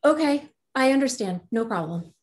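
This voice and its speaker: A woman's voice: sits high, animated, normal speed, darkish tone. An actress playing an eager young woman in love, talking to her therapist over a video call. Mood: excited. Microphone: video call webcam.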